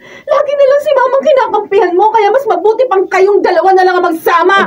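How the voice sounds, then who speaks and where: A woman's voice: very high voice, a little fast, darkish tone. A Filipino woman playing a fed-up, defiant daughter-in-law in a radio drama, answering back pointedly. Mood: hurt, fed up. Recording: distant mic, dull sound.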